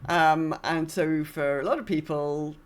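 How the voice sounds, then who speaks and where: A woman's voice: low voice, a little slow. A woman co-hosting a podcast, chatting casually and discursively as she thinks aloud. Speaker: podcast co-host. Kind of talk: casual gaming podcast chat.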